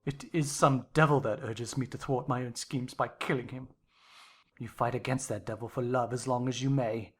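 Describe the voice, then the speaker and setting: A woman's voice: rumbling low, fairly even intonation, moderate pace, slightly rough, voice pushed down. A woman voicing a grim, surly country master, brooding and vengeful, growling with menace in a dramatized novel reading. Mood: dark, obsessive menace.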